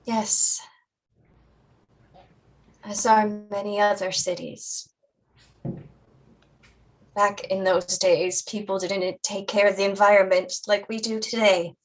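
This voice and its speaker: An unhurried, mid-pitched voice: a woman playing a mother in a family drama reading. She is patient and caring, explaining things in a deliberate, reassuring way. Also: fairly even intonation, rough recording.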